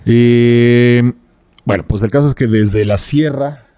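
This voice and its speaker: A man hosting a podcast, talking casually and haltingly, thinking aloud and adding little tag questions. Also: medium pitch, mellow, clean tone, no room sound, clean studio sound. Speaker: podcast host. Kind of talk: casual podcast conversation from notes.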